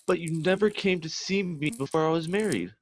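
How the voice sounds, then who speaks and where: A young man playing a cheerful, earnest, good-hearted nephew in an amateur radio play, pleading warmly with his relative. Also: on the high side, brisk, a little hiss or hum, close to an everyday voice.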